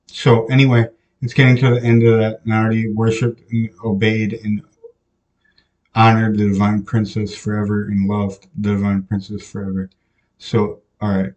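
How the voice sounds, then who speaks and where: A young man delivers a slow, meandering monologue straight to camera, trailing off and restarting with plenty of fillers. He sits a few feet from the microphone in a small, bare, echoey room, so his voice sounds distant and roomy. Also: lowish voice. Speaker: podcast host. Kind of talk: rambling devotional monologue. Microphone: camera mic from a few feet away.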